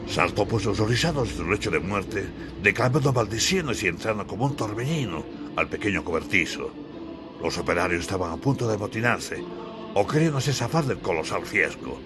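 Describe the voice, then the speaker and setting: A man from Bolivia narrates an audiobook. His voice sits very low, and he delivers scripted literary prose with theatrical emphasis. Music plays clearly underneath. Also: animated, brisk.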